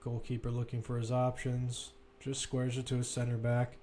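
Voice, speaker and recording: A man's voice: medium pitch, completely flat delivery, moderate pace, dark tone, slight background noise. A man giving live football play-by-play commentary, describing the action as it runs, with asides and self-corrections.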